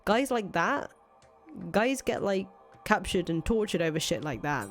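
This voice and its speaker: A woman's voice: medium pitch, sing-song, a little slow. A woman playing a cynical, foul-mouthed ousted rebel leader in a visual novel, thinking aloud in casual, profane speech. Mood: suspicious, agitated. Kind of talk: acted reading of visual novel dialogue. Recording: music under the voice.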